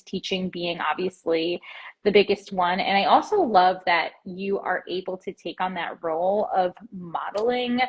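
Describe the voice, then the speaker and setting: A woman with a slightly husky, breathy voice hosts a relaxed interview, full of encouraging remarks and little restarts. Her pitch sits in a middle range for a woman. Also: lo-fi sound. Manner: warm, affirming, many fillers and restarts. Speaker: podcast host, dietitian. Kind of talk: casual interview chat. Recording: intro over music.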